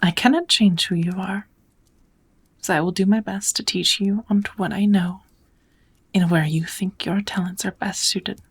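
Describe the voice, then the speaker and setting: A woman voicing a kind, knowledgeable witch who gently teaches magic in a measured, whispered ASMR roleplay. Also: mid-range voice. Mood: kind, patient. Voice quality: soft, breathy whispering.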